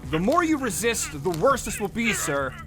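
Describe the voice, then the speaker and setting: Male jellyfish officer acted, polite authority, fairly high animated voice, audio drama under loud music. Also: normal speed. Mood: calm authority. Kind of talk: audio drama character acting.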